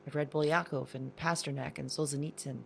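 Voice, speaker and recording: A woman's voice: deep voice, fairly even intonation, fairly quick, creaky, slight background noise. A woman playing a shaken, thoughtful diarist in an intimate audio drama monologue, speaking hesitantly in broken, reflective phrases.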